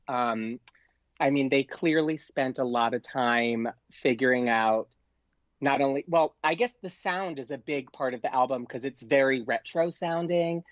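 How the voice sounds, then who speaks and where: A man's voice: medium pitch, no room sound. Casting: young male podcast guest, chatty and effusive, heard on a dull, lo-fi call-in with little room sound. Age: young adult. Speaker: podcast guest, actor and comedian. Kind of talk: casual chat. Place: remote, calling in to the show.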